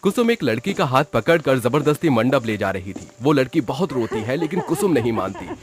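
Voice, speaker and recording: A man's voice: medium pitch, expressive, brisk. A man reading a scripted story as its narrator. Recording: music behind the voice. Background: background music.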